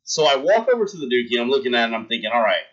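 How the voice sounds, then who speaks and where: A man's voice: mid-range voice, big pitch swings, rapid-fire, highs cut off. A young man hosting a livestream, telling a rambling story in casual chat and talking to his viewers. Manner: rambling anecdote, talks to chat, shouts out followers. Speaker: livestream host.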